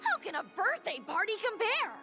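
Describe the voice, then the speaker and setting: An excitable, childlike festival fan in a video game. The voice is extremely high and leaps about with indignant eagerness. Music plays clearly behind. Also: female voice, normal speed, full character voice.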